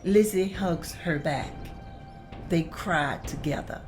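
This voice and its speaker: A woman narrates a script's stage directions. Her voice sits a little low and moves very slowly. Soft music runs faintly underneath.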